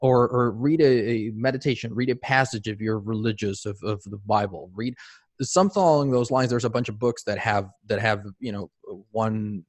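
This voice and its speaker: A man's voice: on the low side, normal speed, no echo. A man from Mexico who speaks Spanish as a first language, hosting a podcast and talking in a conversational way that often restarts phrases. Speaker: podcast host. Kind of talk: casual interview conversation.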